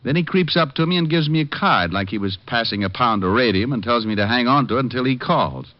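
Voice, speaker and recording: An actor playing a wisecracking private detective who also narrates, in a 1950s radio drama. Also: male voice, medium pitch, lively intonation, quick pace, no room sound, lightly acted. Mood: joking. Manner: dry wisecracks, laconic. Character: wisecracking tough private detective, adult man. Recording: dull old radio recording.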